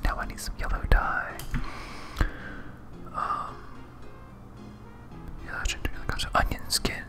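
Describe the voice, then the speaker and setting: Hushed whispering ASMR gamer. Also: measured pace, over music. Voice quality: breathy unvoiced whisper. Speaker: video game streamer. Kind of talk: ASMR whispered game let's play commentary.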